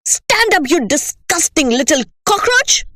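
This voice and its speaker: A towering headmistress barks and sneers at small children, her voice leaping high in loud contempt, as a woman reading a storybook puts her on. The sound is dry and close. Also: very animated, a little slow, no room sound. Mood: raging. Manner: shouting, contemptuous. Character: furious giant bullying headmistress, woman.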